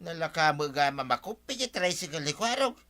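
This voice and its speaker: A man's voice: on the high side, very animated, moderate pace, sharp tone. Brash wheedling Ilocano village man, played by an actor. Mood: defensive, bickering. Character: brazen village man who borrows things and never returns them. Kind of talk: Ilocano comedy radio drama acting.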